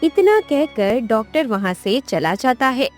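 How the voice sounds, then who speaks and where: A woman's voice: a little high, sing-song, a little slow, darkish tone, soft background music. A woman narrating a scripted moral tale for a cartoon, as a storyteller.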